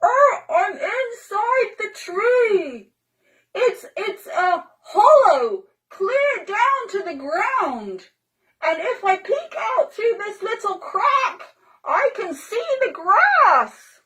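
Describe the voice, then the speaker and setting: A bold, cheeky little girl, squealing and carefree, as a woman storyteller puts her on. The voice is very high and bouncing, stretched out slowly for fun in a storybook reading. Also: big pitch swings, a bit bright, slight background noise, exaggerated voice. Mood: gleeful.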